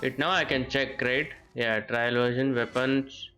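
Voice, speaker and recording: A male game streamer gives casual live commentary at a medium pitch. He talks to himself in halting, muttered bits, with game music behind him. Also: steady tone, pushed and bright, poor audio.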